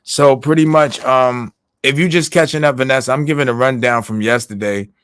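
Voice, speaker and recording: A man's voice: mid-range voice, fairly quick, fairly bright tone, smooth. A man giving a casual, rambling recap on a livestream, full of fillers, restarts and swearing. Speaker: livestream commentator.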